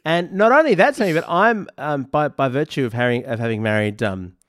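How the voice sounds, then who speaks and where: A man's voice: on the high side, expressive, a little fast, a bit bright, no echo. A man hosting a podcast, teasing his co-host with wry, dry humor in casual chat.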